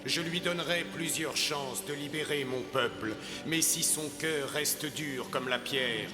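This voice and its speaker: A man voicing a solemn, commanding God in an animated film, measured, with music behind. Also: on the high side, reverberant, big-room sound, lightly acted. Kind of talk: animated film dub in French. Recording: loud musical score behind.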